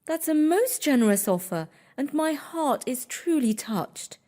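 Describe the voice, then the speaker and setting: A woman playing high-voiced, sweet young heroine. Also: animated, unhurried. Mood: humble, touched. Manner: polite, gentle, earnest. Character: sweet, kind-hearted, humble young woman.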